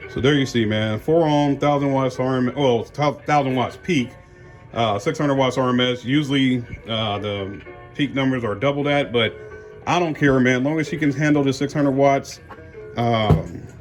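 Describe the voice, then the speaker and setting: A man's voice: mid-range voice, normal speed, soft background music. American man, folksy casual vlogger. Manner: folksy, peppered with slang and "man". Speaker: car audio vlogger. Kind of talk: casual unboxing commentary.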